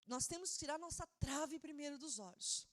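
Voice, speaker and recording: Woman pastor, non-native speaker, high voice, fervent sermon delivery with loud peaks. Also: lively intonation, normal speed. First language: not Portuguese. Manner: impassioned preaching, building to shouted emphasis; repeats words, stumbles and restarts while preaching.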